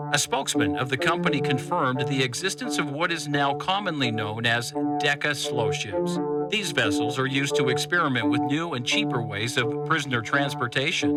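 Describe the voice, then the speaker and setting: A male actor as a composed news journalist delivers a formal, measured report for an audio drama. His voice sits at an everyday male pitch, with loud music running underneath. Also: lightly acted, low-quality recording.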